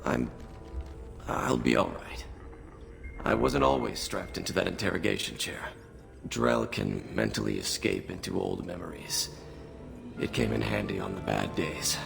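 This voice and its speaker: A man voicing a weary, grateful alien freed from captivity in a video game, gravelly and subdued. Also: deep voice, big pitch swings, unhurried, a bit bright, soft background music, full character voice. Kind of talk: scripted video game voice acting.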